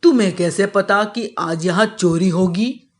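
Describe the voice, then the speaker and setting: A woman voicing a middle-aged father in a children's story, teasing and doubting, with gruff affection and scoffing questions. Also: mid-range voice, a little slow, voice pushed down, natural voice. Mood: skeptical, scolding.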